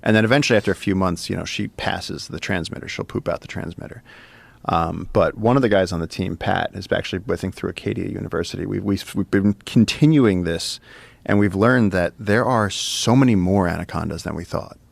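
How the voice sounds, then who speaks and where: Very deep, gravelly-voiced man telling stories. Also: a little fast, dry recording. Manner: loose, vivid storytelling. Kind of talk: casual storytelling.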